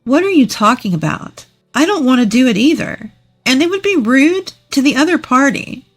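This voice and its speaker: A woman's voice: high voice, sing-song, normal speed, faint music behind, voice pushed up, full character voice. A woman voicing a meek, bookish younger sister, polite and reserved. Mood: protesting.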